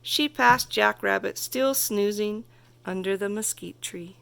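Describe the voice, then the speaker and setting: A woman reading a children's story aloud as narrator, expressive and carefully phrased. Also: mid-range voice, unhurried, vocal fry, slight background noise. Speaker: storybook reader.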